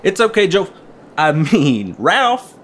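A man's voice: high voice, big pitch swings, takes it slowly, a bit bright, slight background noise, full character voice. An actor playing an eager, folksy small-town hobbyist man in an audio drama, chatty and full of slang. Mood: jovial, chatty.

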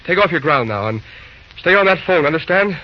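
An actor playing a dazed but dogged young train dispatcher in an old-time radio drama, halting and dutiful, with groans. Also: male voice, on the high side, lively intonation, close to an everyday voice. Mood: brisk, urgent. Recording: noisy, dull old radio recording.

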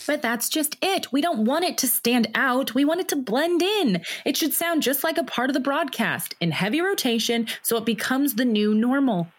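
A fiery young woman rebel in an audio drama, brash and blunt. Her voice is high and swings widely as she argues with determination. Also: lively intonation, normal speed, hardly any pauses, breathy edge. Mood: eager. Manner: blunt, impassioned.

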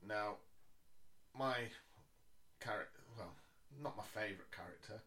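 A man's voice: fairly low pitch, a little slow, bright, forceful tone. A man co-hosting an informal chat, recapping a TV episode in sweary everyday language. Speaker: podcast co-host.

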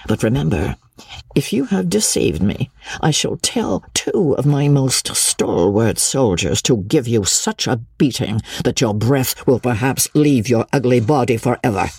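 A man plays a suave, menacing official in an audiobook. His voice is very husky, with a lively swing in pitch and a dry, close sound. He commands quietly and impatiently, always calculating. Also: measured pace, no room sound, full character voice. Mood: cold threat. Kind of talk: audiobook character voice.

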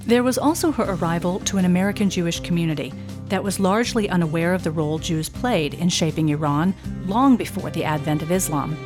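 A woman host giving scripted documentary narration in a steady, composed delivery. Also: fairly low pitch, fairly quick, over music. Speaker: podcast narrator.

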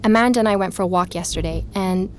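A woman's voice: mid-range voice, fast, a little hiss or hum, lightly acted. An actress playing a curious, candid woman podcaster, narrating an audio drama like a conversational, reflective diary. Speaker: narrator and interviewer.

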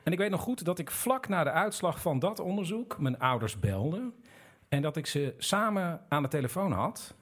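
A man's voice: fairly high pitch, very animated, normal speed, fairly bright tone. A man hosting a storytelling show, narrating in a personal way.

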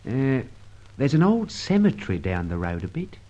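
A man acting an eager, obliging sidekick to a gentleman rogue in an old radio drama. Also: medium pitch, wildly swooping pitch, moderate pace. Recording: dull vintage radio recording.

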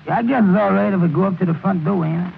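An actor playing an earnest, worried cab driver in folksy, ungrammatical dialect, in 1930s radio comedy style. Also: male voice, high voice, racing, creaky. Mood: practical. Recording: crackly 1930s radio broadcast, narrow band.

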